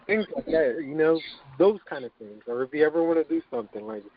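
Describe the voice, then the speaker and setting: A man's voice: on the high side, animated, quick pace. A man chatting casually on a group call in a loose, informal way, acting out little example quotes as he goes. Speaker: business owner on a group call. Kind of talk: casual chat. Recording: thin, tinny phone line.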